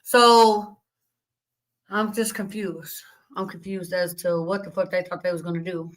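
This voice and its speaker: A woman with a slightly raspy voice hosting a casual live stream, reading chat comments and talking bluntly, with plenty of profanity and laughter. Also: fairly low pitch, fairly even intonation, measured pace, low-quality recording.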